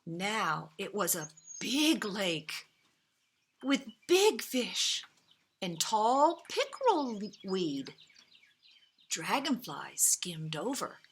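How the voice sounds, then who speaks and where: Woman reading expressively to children, very animated pitch. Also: breathy edge. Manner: dramatic pauses, emphatic key words, gentle asides to young listeners. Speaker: storyteller reading a picture book to children. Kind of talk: expressive children's read-aloud. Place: outdoors.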